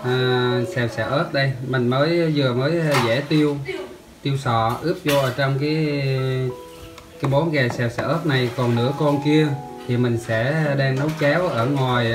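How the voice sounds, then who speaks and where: A man with a southern Vietnamese accent narrating a vlog casually, chatting familiarly to his viewers. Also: mid-range voice. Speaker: vlogger. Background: music.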